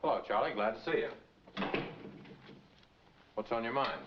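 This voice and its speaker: A man's voice: medium pitch, normal speed, natural voice. An American man playing a businesslike, affable garage boss in 1940s film noir style. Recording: dull, noisy 1940s film soundtrack.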